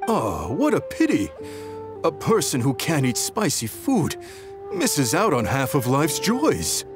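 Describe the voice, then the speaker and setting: A man's voice: a little high, huge pitch swings, slow, loud music behind the voice, exaggerated voice. A man voicing a hearty, proud, shrewd middle-aged restaurant chef in a video game, jovial and emphatic. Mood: welcoming. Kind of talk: animated video game voice acting.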